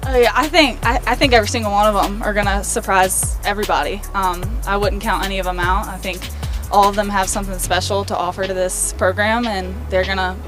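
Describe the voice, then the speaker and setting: A young woman, a college softball senior, answering a preseason interview in an upbeat, casual way with many fillers. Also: mid-range voice, steady tone, fast talker, over music. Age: young adult.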